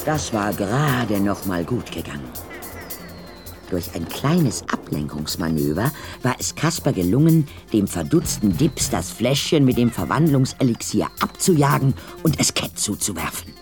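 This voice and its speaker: A man's voice: on the low side, very animated, moderate pace, darkish tone, soft background music. A man narrating a scripted radio play in a dramatic storytelling style.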